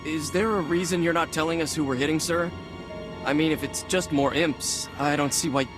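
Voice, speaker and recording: Guy as a grumbly fighter pilot, highish voice, clear music behind. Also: normal speed, close to an everyday voice. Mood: questioning. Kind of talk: video game voice acting. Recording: prominent music underneath.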